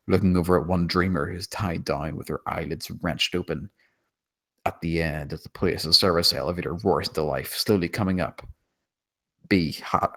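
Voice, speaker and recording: A man with a very low voice narrates a screenplay. He reads out scene descriptions and stage directions as scripted narration. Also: fairly bright tone. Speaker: narrator of screenplay table read.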